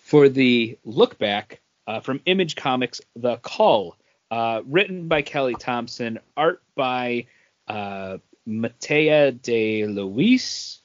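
A man co-hosting a podcast, talking casually about comic books in a halting way that often rephrases itself. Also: medium pitch, animated, dry recording, highs cut off. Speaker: podcast co-host. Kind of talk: casual comic book discussion.

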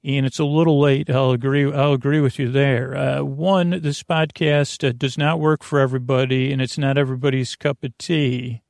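A man hosting a bedtime podcast in a creaky voice, meandering through tangents and interrupting himself in a lulling, soothing manner. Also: medium pitch, flat delivery, normal speed, hardly any pauses, darkish tone, no echo, clean studio sound. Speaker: podcast host. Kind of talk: rambling bedtime podcast monologue.

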